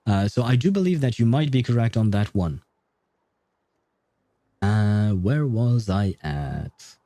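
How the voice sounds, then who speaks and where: Casting: male game streamer, relaxed dark tone, dry close mic, rambling self-deprecating chat. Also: lowish voice, takes it slowly, dry recording. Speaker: video game livestreamer. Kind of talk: casual livestream chat while gaming.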